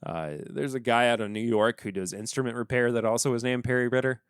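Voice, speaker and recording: A man's voice: mid-range voice, fairly even intonation, fast talker, smooth, dry recording, studio quality. A man hosting a podcast, wrapping up a solo episode in a rambling, chatty way with joking asides. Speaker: podcast host. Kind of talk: casual solo podcast outro.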